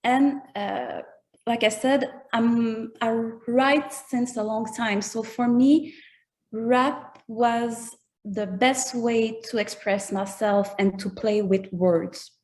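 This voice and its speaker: A woman with a French accent speaks slowly and thoughtfully as a guest in an interview. She often pauses to find her English words. Her native language is French. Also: on the high side, steady tone, a little mellow. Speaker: musician guest. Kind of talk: casual interview chat.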